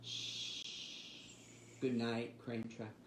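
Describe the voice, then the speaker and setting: Male children's storytime host, mid-pitched, expressive and sing-song, recorded in an echoey room. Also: very slow. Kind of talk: reading picture books and leading finger rhymes. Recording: roomy echo with faint background noise.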